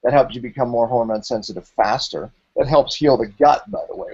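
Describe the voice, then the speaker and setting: A man's voice: mid-range voice, a little mellow, muffled recording. A man in his forties, hosting a health talk show and explaining things emphatically, with lots of repeated phrases. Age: 40s.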